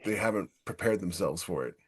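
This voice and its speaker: A man's voice: low voice, lively intonation, a little fast, a crowd in the background. A man co-hosting a podcast, explaining his views in casual, conversational discussion. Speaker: podcast co-host.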